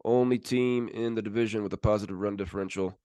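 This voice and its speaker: A man's voice: lowish voice, fairly even intonation, quick pace, mellow, clean tone, no echo. A man hosting a sports podcast, giving casual commentary as he runs through standings.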